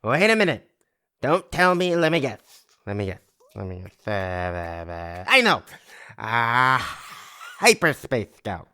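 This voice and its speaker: An actor playing a flippant, self-pitying, hard-drinking brother in an audio drama, drawling and jokey. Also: male voice, medium pitch, big pitch swings, measured pace, sharp tone, clear, resonant tone, full character voice. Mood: playful, prying.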